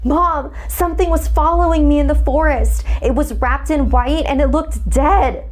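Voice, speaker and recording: A woman's voice: high voice, fairly even intonation, normal speed, darkish tone, voice pushed up, lightly acted. A woman voicing a frightened, tearful young girl in a narrated horror story, protesting in distress, with music behind her.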